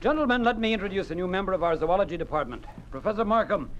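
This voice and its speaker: An actor in a 1950s radio drama playing a genial, talkative Communist party secretary with a smooth, persuasive manner. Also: male voice, high voice, lively intonation, racing. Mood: jovial, expansive. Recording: muffled, noisy old 1950s radio recording.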